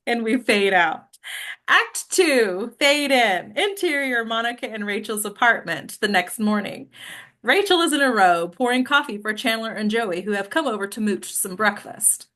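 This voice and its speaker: A woman's voice: fairly high pitch, animated, moderate pace, sharp tone. A woman reading stage directions as the scripted narrator of a table read.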